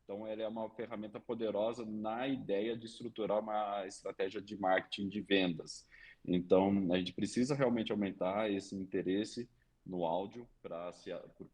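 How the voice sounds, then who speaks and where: A man's voice: on the low side, steady tone, normal speed, a little mellow, breathy edge. A man with a Brazilian Portuguese accent, a regular commentator on a podcast, explaining radio market research and often tagging sentences with small fillers. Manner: explanatory, leans on tag questions and fillers.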